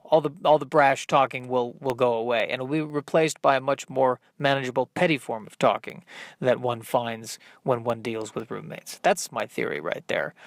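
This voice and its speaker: A man hosting a solo comedy podcast, rambling and thinking aloud in a halting, self-deprecating way. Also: mid-range voice, vocal fry, no echo, studio quality. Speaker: podcast host working out stand-up comedy bits.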